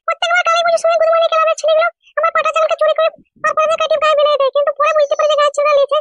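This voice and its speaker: Cartoon village mother-in-law: extremely high, squeaky, very fast, bossy and nagging. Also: completely flat delivery, bright, forceful tone. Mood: complaining, urging. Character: scolding village mother-in-law, goat owner, bossy and coaxing. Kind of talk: comedy cartoon dialogue.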